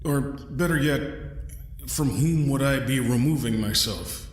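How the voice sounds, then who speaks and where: A man playing a trapped young adventurer in an audio drama, dry and sardonic, with halting, defiant delivery. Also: medium pitch, reverberant, lightly acted. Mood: wry, probing.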